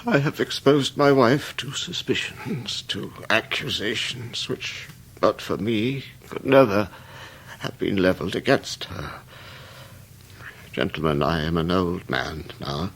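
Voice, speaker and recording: An actor playing a gentle, honourable old scholar in a period radio drama, speaking with a British accent in a halting, earnest, dignified way. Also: male voice, lowish voice, creaky, slightly rough, heavily acted, rough recording. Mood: anguished, remorseful. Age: older.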